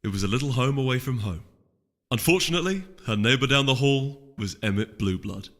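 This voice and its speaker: A man's voice: mid-range voice, animated, fairly quick, fairly bright tone. A man reading a fantasy story aloud as scripted dramatic narration. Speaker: audiobook reader of fan fiction. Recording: long reverb, music underneath. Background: music.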